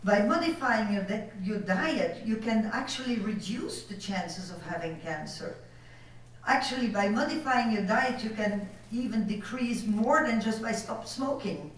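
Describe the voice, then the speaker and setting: A woman from Belgium with a French accent, whose first language is French, giving a conference lecture over slides and pausing now and then to search for words. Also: medium pitch, animated. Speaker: conference speaker. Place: conference hall. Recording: echoey hall from far off.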